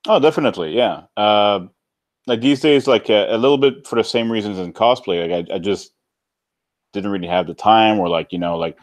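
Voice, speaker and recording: Male guest from Canada, deep voice, dry close sound, loose conversational rambling. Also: fairly quick, no room sound. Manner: rambling, informal, filler-heavy with many "like"s. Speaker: interview guest. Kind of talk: casual chat, personal storytelling.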